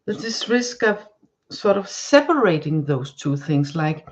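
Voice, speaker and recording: A woman's voice: sits low, big pitch swings, measured pace, breathy edge, lo-fi sound. Woman reading tarot cards. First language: Danish. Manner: searching for words, many restarts and fillers. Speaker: tarot card reader. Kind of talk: live-stream tarot reading.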